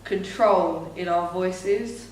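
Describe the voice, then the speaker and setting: A woman's voice: lowish voice, drawn-out words, a little mellow, distant mic. A young woman chatting on a podcast, thinking aloud in a reflective way. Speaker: podcast co-host, actor. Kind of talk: casual chat. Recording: very echoey room, faint noise.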